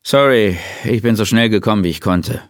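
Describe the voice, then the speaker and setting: A man voicing a weary, laid-back male forensic pathologist who muses out loud in a relaxed way. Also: fairly low pitch, a little fast, dry recording, clean studio sound, lightly acted. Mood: musing.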